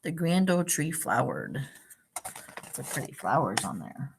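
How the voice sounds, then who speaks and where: Low, creaky-voiced woman reading to children. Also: wildly swooping pitch, measured pace, noisy background. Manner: simple explanatory asides, addressing children. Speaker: teacher reading a picture book to children. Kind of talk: read-aloud storytime with asides and questions to young listeners.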